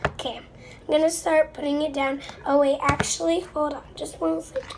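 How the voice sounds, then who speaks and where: Stuffy high-voiced young girl. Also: flat delivery, moderate pace. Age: child. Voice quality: stuffy, congested nose. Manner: rambling, apologetic. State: stuffed-up and under the weather. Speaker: slime video maker. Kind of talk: casual chat to viewers while crafting. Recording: noisy amateur recording with clicks.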